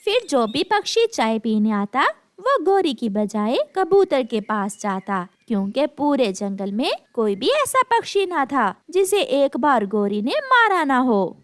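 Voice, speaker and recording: A woman narrates a scripted children's story. Her voice is high and lively, with wide melodic movement. The recording is dry, with no room sound. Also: a little slow, dry recording.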